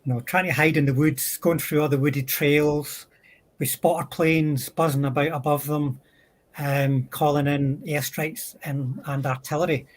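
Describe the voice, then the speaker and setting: A man with a husky voice chatting casually as a guest on a hobby livestream. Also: on the high side, steady tone, unhurried.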